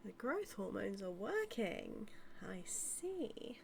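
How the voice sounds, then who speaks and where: A woman's voice: mid-range voice, very animated, slow pace, slight background noise, full character voice. A woman playing a motherly mad scientist villain with a British accent, speaking fondly and theatrically to her monster in an intimate audio roleplay. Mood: doting, pleased. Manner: fond, theatrical, musing, softly dipping into breathy asides. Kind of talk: scripted roleplay audio, intimate monologue to listener.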